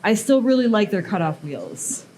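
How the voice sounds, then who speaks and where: A woman's voice: medium pitch, sing-song, normal speed, very noisy. Woman talking through a live metalwork demo. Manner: casual, explanatory, thinks aloud with fillers and restarts. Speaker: blacksmith and sculptor giving a live demo. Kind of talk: live workshop demonstration to an audience. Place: metal workshop at a smithing event.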